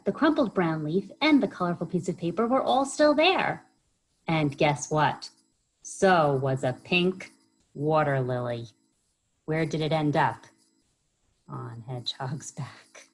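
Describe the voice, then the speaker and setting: A woman reading a children's story aloud as a library storyteller, expressive and often pausing to put questions to young listeners. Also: sits low, rough recording.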